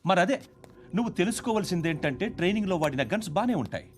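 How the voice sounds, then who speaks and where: An actor playing an intimidating, sardonic senior police officer in a film, curt and commanding. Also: male voice, high-pitched, animated, racing, slight background noise. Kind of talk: film dialogue. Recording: film music behind.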